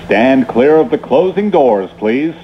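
A man's voice: medium pitch, animated, a little slow, soft background music. A man reading scripted train announcements as a transit announcer, emphatic, instructive and courteous. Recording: muffled recording with train noise.

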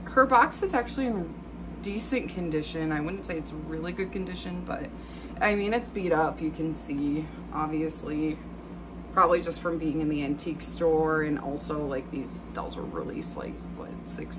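A woman's voice: on the low side, background noise. A young adult woman vlogger chatting casually about her doll finds, with frequent ums and likes. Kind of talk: casual haul vlog chat.

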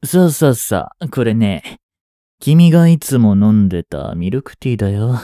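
A man in his twenties playing an obsessive, jealous young stalker in an intimate voice drama, coaxing softly with long pauses. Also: mid-range voice, animated, no room sound, clean studio sound, lightly acted. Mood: pleading, eerily gentle. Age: 20s. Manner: soft, coaxing, brooding delivery with drawn-out pauses. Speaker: voice actor. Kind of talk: intimate Japanese voice drama, addressed to the listener.

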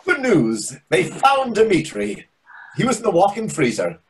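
A man's voice: high-pitched, huge pitch swings, measured pace, a little hiss or hum, full character voice. A man in his thirties playing a dramatic, flustered, camp waiter in a play reading over a video call, indignant and arch. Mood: agitated. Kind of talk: readers theatre play reading.

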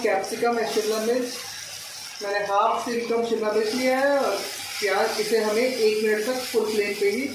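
A young man narrating an informal cooking tutorial step by step, mixing English words into his speech. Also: sits high. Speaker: home cook, recipe host. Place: home kitchen, indoors. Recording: echoey, distant mic, faint kitchen noise.

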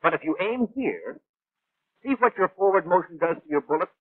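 Know-it-all 1940s training cartoon instructor, clipped n patient, high lively voice, tinny old film sound. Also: male voice, fairly quick, dry recording. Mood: instructive. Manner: precise, didactic, clipped. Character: brisk, know-it-all male gunnery instructor, patient but exacting. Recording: thin, band-limited 1940s film soundtrack.

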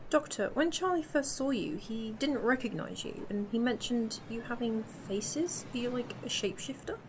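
A woman's voice: a little high, normal speed, lightly acted. Girl acting curious cheeky young sidekick in a fan audio drama, chatty, noisy background. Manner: inquisitive, chatty. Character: curious, cheeky young female companion from the future. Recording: amateur recording with clear background noise.

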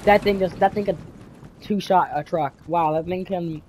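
A man's voice: sits high, steady tone, normal speed, background noise. Teenage boy with a head cold. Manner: rambling, repeats words, many fillers and restarts. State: congested from a cold. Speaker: gaming YouTuber. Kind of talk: casual vlog commentary over gameplay.

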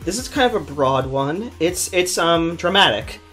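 A woman's voice: lowish voice, lively intonation, moderate pace, music in the background. A woman hosting a casual group chat.

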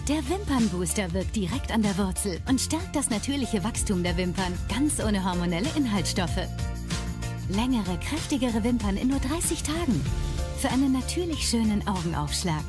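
A woman's voice: fairly high pitch, big pitch swings, moderate pace, over music. A woman reading scripted advertising narration as a commercial voice-over.

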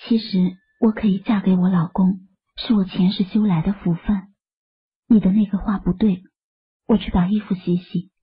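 A woman voicing a gentle, soft-spoken young wife in an audio drama. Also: medium pitch, fairly even intonation, moderate pace, husky. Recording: dull lo-fi audio.

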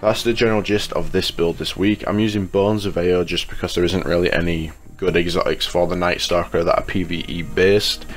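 A man's voice: low voice, fairly even intonation, fairly quick, hardly any pauses. A man giving a casual, chatty video game guide as a YouTube host, sounding slightly congested with a cold. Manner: informal, chatty, addresses viewers as guys. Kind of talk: casual video game guide commentary over gameplay menus. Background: music.

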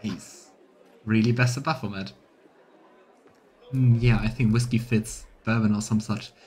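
A man's voice: lowish voice, fairly even intonation, a little slow, darkish tone, breathy edge. A man in his thirties doing casual, playful game commentary and narration as a streamer, musing as he goes. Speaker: streamer, let's player. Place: small indoor room on webcam.

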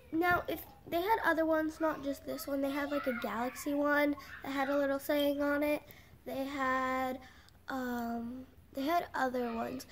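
A woman's voice: high voice, a little mellow, a little hiss or hum. A young female vlogger chatting casually in her bedroom into a camera mic, rambling with lots of fillers. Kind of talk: casual vlog. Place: small bedroom indoors.

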